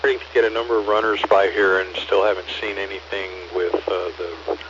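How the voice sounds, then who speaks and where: A man's voice: low-pitched, level tone, a little fast. A man reporting briefly and procedurally as a water stop operator over two-way radio. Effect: two-way radio transmission. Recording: muffled, very noisy two-way radio. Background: heavy radio noise, dial tones.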